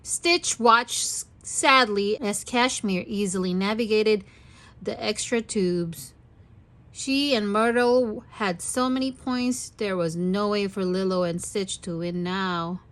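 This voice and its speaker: A woman's voice: medium pitch, takes it slowly, fairly bright tone. A woman reading a story aloud expressively for young children. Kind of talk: children's read-aloud narration.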